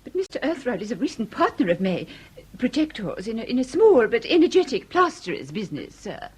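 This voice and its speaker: Anxious posh victorian english lady, high swoopy voice, super breathy, over-polite, radio comedy. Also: big pitch swings, exaggerated voice. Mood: anxious, ashamed. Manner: fluttering, over-polite, halting, melodramatic. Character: anxious, genteel Victorian common-law wife. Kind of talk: period comedy radio drama acting.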